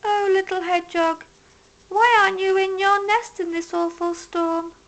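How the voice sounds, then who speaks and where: A woman voicing a caring, motherly deer in a storybook, warm and concerned. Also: very high voice, fairly even intonation, normal speed, voice pushed up.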